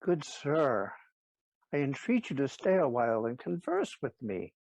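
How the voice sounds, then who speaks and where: Man playing a stern courteous duke, medium pitch, commanding, formal, dry sound, Shakespearean audio drama. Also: big pitch swings, measured pace, gravelly, a little mellow, no echo, heavily acted, poor audio.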